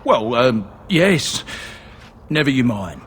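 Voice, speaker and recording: An actor playing a nervous, evasive armor merchant in a video game, speaking hesitantly. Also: male voice, mid-range voice, big pitch swings, takes it slowly, a little hiss or hum. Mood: evasive, uneasy.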